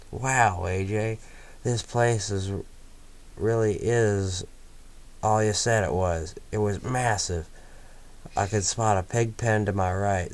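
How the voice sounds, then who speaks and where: A man reading a first-person story aloud in a steady storytelling manner. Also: lowish voice. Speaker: fanfiction reader.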